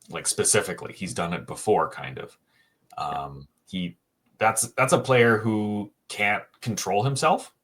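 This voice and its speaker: A man co-hosting a casual sports podcast, thinking aloud with frequent pauses and restarts. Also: low-pitched, fairly bright tone. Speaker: co-host, hockey writer.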